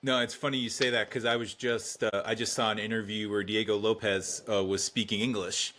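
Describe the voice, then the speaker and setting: A man's voice: lowish voice, level tone, fairly quick, fairly bright tone. A man hosting a podcast interview, chatty and conversational, with frequent short backchannels and questions.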